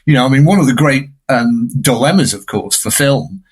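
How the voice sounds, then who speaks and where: A man's voice: medium pitch, animated, moderate pace, husky. A man speaking as a podcast guest, explaining media history in an emphatic, discursive way.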